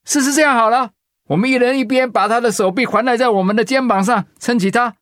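A man playing a concerned, steady friend of a patient in a radio drama. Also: very high-pitched, fast, no echo, clean studio sound. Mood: encouraging, careful.

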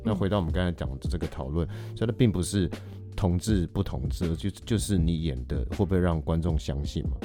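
A man's voice: deep voice, fast, warm tone, faint music behind. A man with a Taiwanese Mandarin accent, talking casually as a podcast guest and explaining his views through examples. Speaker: guest, actor.

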